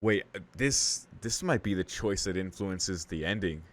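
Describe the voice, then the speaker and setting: A man's voice: deep voice, expressive, measured pace. A man hosting a visual novel playthrough, reading and reacting in slangy, profane casual talk, thinking aloud. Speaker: let's play host.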